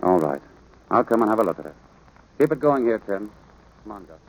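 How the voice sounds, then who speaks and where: Deep-voiced, grave rancher father. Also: big pitch swings, brisk, rough recording. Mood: concerned. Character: stern, sorrowful rancher father, middle-aged, resigned. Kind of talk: 1940s radio drama acting. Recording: old 1940s radio broadcast.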